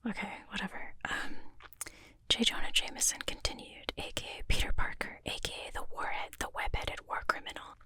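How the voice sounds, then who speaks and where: A woman whispering an ASMR reading from inside a small closet, breathy and unvoiced, with self-conscious rambling asides. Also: brisk, bright, forceful tone. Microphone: home mic, headphones on.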